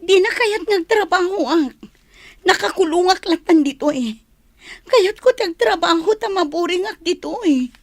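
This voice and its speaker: A woman's voice: extremely high pitch, animated, moderate pace, no echo, studio quality. A woman playing a bored, complaining young wife in a radio drama, with a Filipino accent. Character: bored young wife kept cooped up at home.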